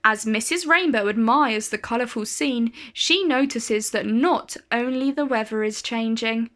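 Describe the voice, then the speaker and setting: A woman reading a children's picture book aloud as a storyteller, with deliberate phrasing and dramatic emphasis. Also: on the high side, fairly bright tone.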